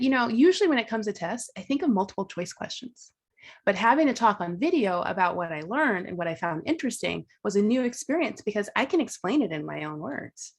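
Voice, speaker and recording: A woman's voice: mid-range voice, husky. A woman instructor presenting at an online teaching workshop, talking casually and thinking aloud, with fillers and restarts.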